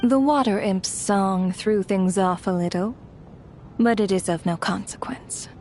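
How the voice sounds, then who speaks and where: A woman's voice: mid-range voice, unhurried, darkish tone, soft background music, natural voice. A woman playing a cold, imperious ruler of death in a video game, speaking formally and with detached menace. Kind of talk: scripted video game acting.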